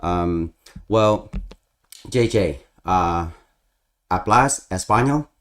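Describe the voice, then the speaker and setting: Interview host: male, low voice, casual, halting delivery with searching pauses. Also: animated, fairly bright tone.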